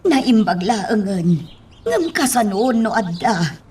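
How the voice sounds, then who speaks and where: An actress with an Ilocano accent playing a fretful, devoted nanny in a radio drama. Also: female voice, mid-range voice, very animated, slow pace, a little mellow, distant mic, a little hiss or hum. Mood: worried, scolding. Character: fretful female nanny devoted to her young ward.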